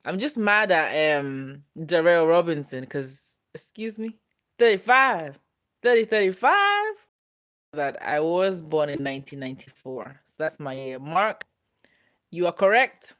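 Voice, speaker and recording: A woman's voice: deep voice, sing-song, unhurried, a bit bright. A woman in her twenties hosting a casual livestream, reading viewer comments aloud in a playful, teasing way and laughing often. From Ghana. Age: 20s. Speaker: livestream host.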